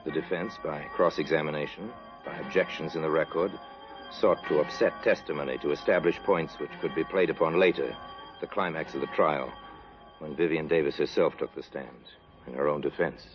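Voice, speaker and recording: A man narrates in a very deep, grave and measured voice, setting the scene of a trial. Music plays behind him on a dull, lo-fi old radio recording. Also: steady tone.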